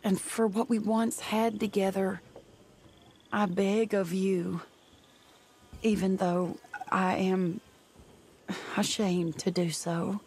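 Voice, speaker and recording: Young woman, letter voice-over, wistful, pleading, slow. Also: medium pitch, little pitch movement, a little mellow, slight background noise. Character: young woman writing a heartfelt letter to an old love.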